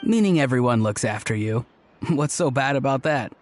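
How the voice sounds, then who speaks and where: An actor playing a kindly, gently teasing male friend in a video game, with a reassuring manner. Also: medium pitch, animated, moderate pace, a little hiss or hum, natural voice.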